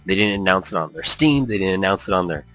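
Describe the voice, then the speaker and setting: A man's voice: low-pitched, animated, fast, bright, forceful tone. A man giving casual, chatty let's play commentary that drifts off on tangents. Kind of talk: casual, rambling game commentary with read-aloud dialogue. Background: music.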